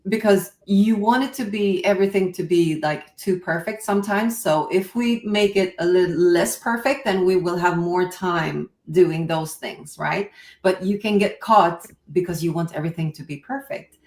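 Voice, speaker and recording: A woman's voice: lowish voice, moderate pace, darkish tone, reverberant, distant mic. A woman with a Swedish accent, English not her first language, talking hesitantly in a casual online roundtable. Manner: hesitant, searching for words. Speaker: small creator on a panel.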